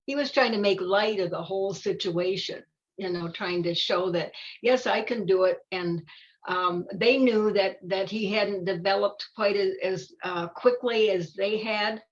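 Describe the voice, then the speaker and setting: An older woman talking informally about a picture book, halting and repeating her words. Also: medium pitch. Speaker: volunteer story reader. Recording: dull lo-fi audio.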